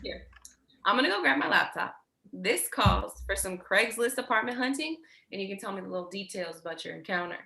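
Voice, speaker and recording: Actress playing affectionate chatty sister, bright forceful tone, lively intonation, casual, staged drama. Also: female voice, mid-range voice, a little fast, creaky. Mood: upbeat. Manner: casual, breezy. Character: chatty, teasing, affectionate sister making plans. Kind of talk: staged play reading.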